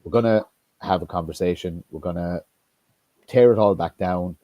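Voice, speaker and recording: A man talking politics in a casual discussion, halting with many restarts and fillers, blunt and sometimes swearing. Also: deep voice, dark tone, dry recording, dull audio.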